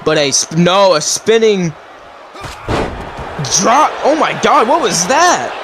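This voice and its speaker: A man giving wrestling color commentary, moving between steady analysis and shouted disbelief. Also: high voice, takes it slowly. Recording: loud crowd and noise behind.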